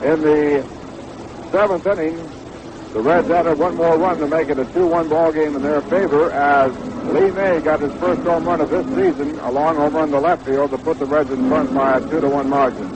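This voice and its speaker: A fairly high-voiced American man recaps a baseball game for radio listeners. He runs his sentences on at length, over the clear noise of a 1960s AM broadcast. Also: steady tone, normal speed, lo-fi sound.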